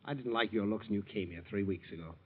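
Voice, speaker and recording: An actor playing a moody, defensive young son of a prosecutor in an old-time radio drama, edgy, evasive and curt. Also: male voice, lowish voice, fast, slightly rough. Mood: cornered, sullen. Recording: dull vintage radio recording.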